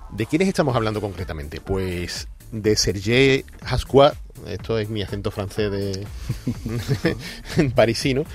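Low-voiced man for casual gaming-news chat, lots of fillers, light music bed. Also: expressive, measured pace. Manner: chatty, hesitant, many asides and fillers. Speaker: radio co-host.

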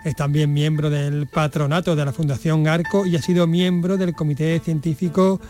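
A man reporting culture news on radio in a brisk, informative way. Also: a little high, flat delivery, darkish tone, soft background music.

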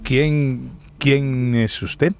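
Radio play lead: proud, troubled husband, halting, slow and gravelly. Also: male voice, medium pitch, fairly even intonation, darkish tone, faint music behind. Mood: bewildered. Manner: hesitant, repeats himself. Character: worried, proud, self-sacrificing husband and father, bookseller.

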